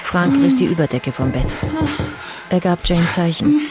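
A woman narrating a radio crime drama in a measured, even storytelling manner. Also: lowish voice, over loud music. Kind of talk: scripted narration.

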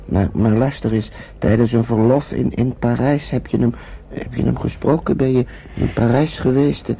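A man acting a gentle older father in an old radio drama, speaking haltingly and soothingly, on a muffled, noisy recording. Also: medium pitch, steady tone, creaky, husky. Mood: flustered, surprised. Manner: halting, repeats words, soothing. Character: gentle older father shepherding children through wartime France.

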